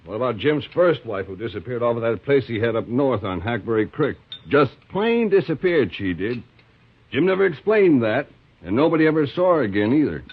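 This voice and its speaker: A sneering, gossipy townsman of the frontier in a 1950s radio play. His pitch rises and falls animatedly as he insinuates. The broadcast sounds thin and phone-like. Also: very animated, brisk, husky. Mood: insinuating. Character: sly, gossiping frontier townsman, adult man. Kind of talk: 1950s radio drama acting.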